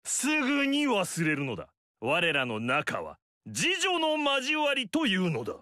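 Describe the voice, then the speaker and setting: A man's voice: high voice, wildly swooping pitch, unhurried, bright, forceful tone, no echo, clean studio sound, heavily acted. An actor playing a pompous, haughty middle-aged noble lord who lectures a young girl in a game. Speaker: game character. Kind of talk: game story dialogue.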